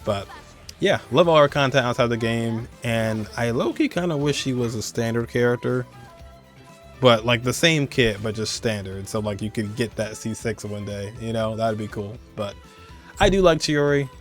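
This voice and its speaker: Guy doing casual gaming countdown commentary, slangy, chatty, regular pitch, music behind him. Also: normal speed. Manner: slangy, conversational, chuckling asides. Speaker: host of a video game countdown show.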